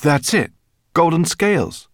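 A man voicing an eager, kindly human boy in an audiobook, talking in a quick and earnest way. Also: fairly low pitch, expressive, no echo, clean studio sound, pitched above the performer's own voice. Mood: excited. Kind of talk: audiobook character acting.

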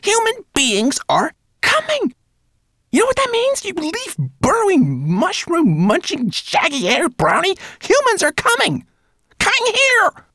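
A man plays a small, indignant female rat from a storybook. The voice is squeaky and high, leaping wildly in pitch, with snappish, hissing delivery. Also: wildly swooping pitch, unhurried, bright, forceful tone, no room sound, clean studio sound, heavily acted. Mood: shrill rage. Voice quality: shrill, squeaky.

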